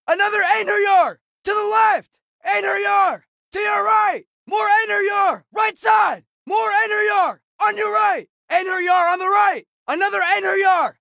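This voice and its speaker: A teenage boy fighter in a video game, his very high voice bright and edged with gravel. He shouts clipped, urgent battle warnings to his father, recorded close and dry. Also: no room sound, heavily acted. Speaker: game companion character. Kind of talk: video game combat callouts.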